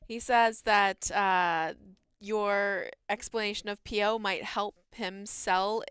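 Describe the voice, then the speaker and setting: A woman's voice: mid-range voice, steady tone, slow pace, fairly bright tone, smooth, dry recording. A woman co-hosting a livestream in a casual, chatty way, relaying comments and information to viewers. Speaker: livestream co-host reading chat.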